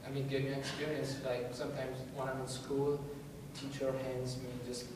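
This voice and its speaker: A man's voice: mid-range voice, completely flat delivery, normal speed, noisy background. Young man speaking from far off in an echoey hall. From Iraq. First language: Arabic. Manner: hesitant, many restarts, laughs easily. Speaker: conference speaker. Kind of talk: casual informal talk to an audience.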